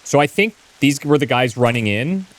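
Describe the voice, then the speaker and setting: A man's voice: mid-range voice, lively intonation, normal speed, noisy background. A man giving casual commentary as he plays a video game. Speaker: host of a gameplay series.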